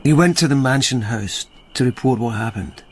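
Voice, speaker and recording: A calm military investigator in a period radio drama, medium in pitch with a husky, creaky grain. He questions gently and keeps his concern restrained. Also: moderate pace. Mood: gently probing.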